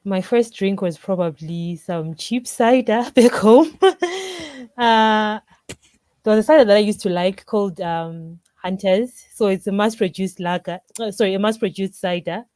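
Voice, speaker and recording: Zimbabwean woman chatting as a podcast guest, soft mellow tone, dry audio. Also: mid-range voice, a little slow, dry recording. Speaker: interview guest, craft brewer. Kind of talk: casual interview answers.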